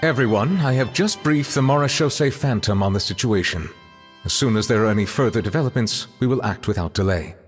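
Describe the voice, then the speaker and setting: An actor playing a formal official in a video game who briefs the team in a crisp, resolute manner. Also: male voice, medium pitch, expressive, brisk, big-room sound, soft background music, natural voice. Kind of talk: video game cutscene dialogue.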